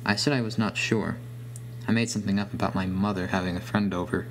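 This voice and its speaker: A man's voice: low voice, steady tone, fast, slight background noise, low-quality recording. A man reading a scripted first-person horror story as its narrator.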